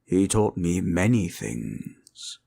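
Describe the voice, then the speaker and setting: Slow, gravelly ancient dragon. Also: male voice, sing-song, mellow, full character voice. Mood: grave, reminiscing. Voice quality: deep, gravelly, husky voice. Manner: slow, measured, tender, old-fashioned formal phrasing. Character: ancient gentle male red dragon, fatherly and wistful. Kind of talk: audio roleplay acting.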